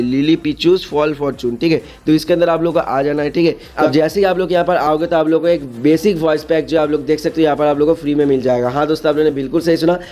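Fast-talking, mellow-voiced man explaining game events. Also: a little high. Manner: repetitive, uses frequent check-in tags and English loanwords. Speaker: gaming video host. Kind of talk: casual explainer narration over game screens.

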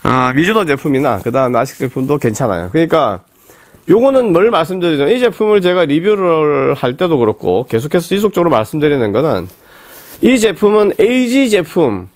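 A man in his forties with a cold, hoarse and rambling as he reviews football boots in a small indoor room. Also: fairly high pitch, big pitch swings, a little fast, no echo. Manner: rambling, with many fillers and restarts. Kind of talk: casual product review talk.

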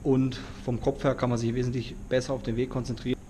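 Ein junger Mann aus Deutschland, der locker und begeistert mit Umgangssprache von seinem Roadtrip erzählt und dabei in eine Handkamera im Freien spricht. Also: male voice, medium pitch, fast. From Germany. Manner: excited, slangy, talks to camera outdoors. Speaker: travel vlogger. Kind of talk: casual travel vlog. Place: outdoors on a road trip in the mountains. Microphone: handheld camera. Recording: outdoor recording with clear wind and background noise.

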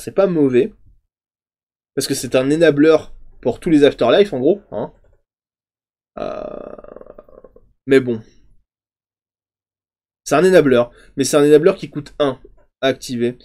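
A man in his thirties casually reviewing card previews on a stream, chatty and thinking aloud, often trailing off into quieter asides. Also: fairly high pitch. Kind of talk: casual game commentary. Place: home streaming room, indoors.

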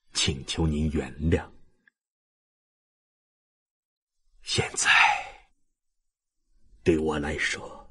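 A man performing an audiobook as a frail, dying old father, tender and humble, with halting, sentimental delivery and a husky, breathy voice. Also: on the low side, a little mellow, exaggerated voice. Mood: grateful, earnest. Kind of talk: dramatic audiobook reading of a classic novel.

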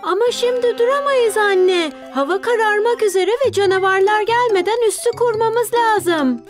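A woman voicing a curious, excitable little boy in a children's cartoon dub, eager and childlike. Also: very high-pitched, moderate pace, soft background music. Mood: pleading. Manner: eager, childlike, wide-eyed. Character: curious, excited four-year-old boy.

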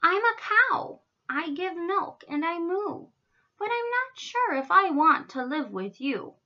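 Meek, self-doubting storybook cow, female reader acting it out: very high, bright, earnest singsong, a little lo-fi. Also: big pitch swings, slow, exaggerated voice. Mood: hopeful, timid.

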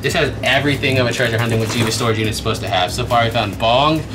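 A man's voice: mid-range voice, very fast, music in the background. A man in his twenties giving rambling, casual commentary to viewers while digging through a storage unit. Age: 20s. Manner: chatty, rambling, talks to viewers. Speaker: treasure-hunting vlogger. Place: indoors in a metal storage unit. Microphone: handheld camera mic.

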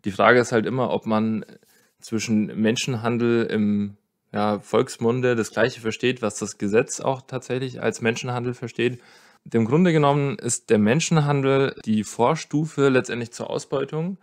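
A man's voice: mid-range voice, fairly even intonation, moderate pace. A man speaking as a legal expert in a podcast interview, explaining conversationally and thinking aloud. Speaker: lawyer, expert guest.

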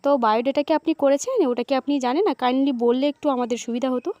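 A woman's voice: high-pitched, racing, a little mellow, no room sound, highs cut off. A woman with a voice affected by sickness, speaking casually as a prank caller and vlogger. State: sick, voice gone bad. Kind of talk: casual prank call and chat to camera.

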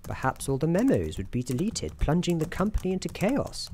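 A soft-spoken woman narrating a scripted ASMR roleplay in a measured, deliberate, theatrical storyteller manner. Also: deep voice, big pitch swings. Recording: keyboard clicks and faint noise. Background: computer keyboard typing.

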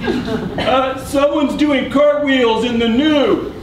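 A woman's voice: high voice, slow. A woman voicing an insistent, needy library co-worker in a live comic puppet show. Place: indoor puppet theatre, filmed from the audience. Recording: echoey noisy hall recorded from far off.

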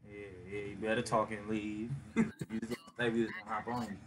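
A man's voice: lowish voice, flat delivery, fairly quick. A man in his twenties bantering over a webcam from a small bedroom, joking and slangy. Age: 20s. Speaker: vlogger. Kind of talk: casual video-chat banter.

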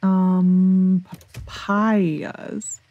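A woman's voice: on the low side, flat delivery, very slow, warm tone, no echo, muffled recording. A woman with a General American accent giving casual gameplay commentary, rambling as she thinks aloud to herself. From United States. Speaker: gaming video host.

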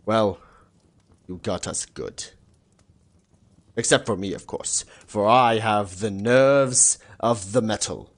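A man's voice: lowish voice, takes it slowly, pauses often. A man voicing a boastful, easily scared echidna warrior in a fan cartoon, with pompous, stilted formal speech. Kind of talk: fan-film cartoon acting.

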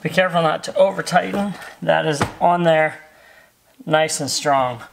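Middle aged american guy, bit of vocal fry, chatting thru a diy install in a car, some faint noise and clicks. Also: fairly high pitch, unhurried. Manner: easygoing, talking while working with his hands. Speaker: host of a car accessory install video. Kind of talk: casual hands-on tutorial chat. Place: inside a parked car.

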